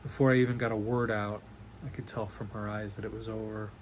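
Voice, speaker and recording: A man's voice: fairly low pitch, level tone, natural voice. Man acting a doting, rambling father, noisy recording. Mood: pained, wistful. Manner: halting, earnest, rambling, with nervous stammers. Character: doting, rambling middle-aged father confessing to his daughter. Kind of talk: one-act play monologue performed on video call. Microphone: video call. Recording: noisy video call audio.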